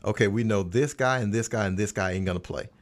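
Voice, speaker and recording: A man's voice: fairly low pitch, quick pace. A man in a casual sports roundtable, conversational and repeating phrases for emphasis. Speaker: sports radio commentator.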